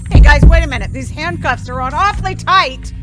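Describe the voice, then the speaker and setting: An actress playing a bewildered, protesting young film starlet in an audio play, hesitant and flighty. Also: female voice, high-pitched, fairly quick, music in the background, close to an everyday voice. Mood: confused, protesting.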